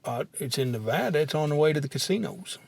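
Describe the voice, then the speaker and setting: A man puts on a simple older country character. The voice sits high and sounds close and dry, without room sound. The delivery is laconic, folksy and deadpan, as comedy podcast banter. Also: quick pace, vocal fry, husky, no room sound, full character voice. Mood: matter-of-fact. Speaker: podcast co-host.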